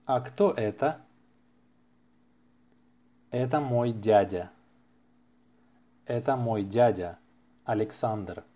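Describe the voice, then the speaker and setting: Slow, deliberate man teaching a language. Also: lowish voice. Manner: deliberate, carefully enunciated, repeats phrases for learners. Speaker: language teacher and podcast host. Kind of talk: slow language-lesson podcast reading practice dialogues. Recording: slightly muffled lo-fi podcast recording.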